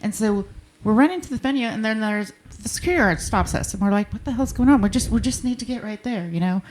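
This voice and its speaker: A woman's voice: a little high, animated, fast talker, darkish tone, slight background noise, poor audio. A woman co-hosting a casual podcast, telling stories animatedly and laughing often. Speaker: co-host.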